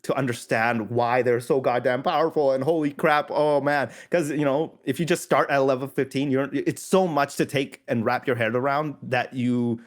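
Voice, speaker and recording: A man's voice: medium pitch, steady tone, normal speed, few pauses. A man co-hosting a casual podcast, talking in long rambling turns with restarts and frequent laughter. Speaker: podcast co-host. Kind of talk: casual chat about tabletop games.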